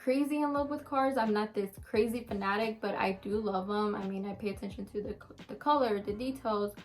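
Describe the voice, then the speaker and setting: A woman's voice: medium pitch, brisk. Chatty young woman answering questions. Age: around twenty. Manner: chatty, frequent ums and restarts, open and candid. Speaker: vlogger answering viewer questions. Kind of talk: casual Q&A chat to camera.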